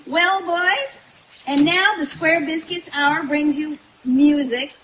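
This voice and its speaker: A woman's voice: high-pitched, takes it slowly, fairly bright tone. A woman playing a folksy, jokey country gal in an old-time radio comedy skit, with a giggly delivery. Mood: perky. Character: folksy, jokey, man-hungry country woman named Mabel. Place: outdoor woodland stage at night. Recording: hissy live camcorder recording from a distance.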